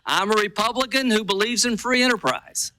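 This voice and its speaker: A man's voice: high-pitched, expressive, fairly quick, sharp tone, dry recording. A man speaking at a public hearing, emphatic and declaiming, from an archive clip.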